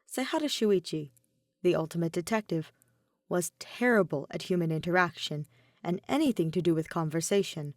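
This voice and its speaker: A woman's voice: fairly low pitch, moderate pace, dry recording, studio quality. A woman narrating a piece of fan fiction as a scripted audio reading. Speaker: podfic narrator.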